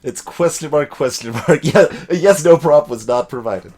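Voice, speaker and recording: A man's voice: on the high side, normal speed, a little mellow. A man giving casual commentary as he plays a video game, thinking aloud. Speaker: let's play host. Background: faint game music.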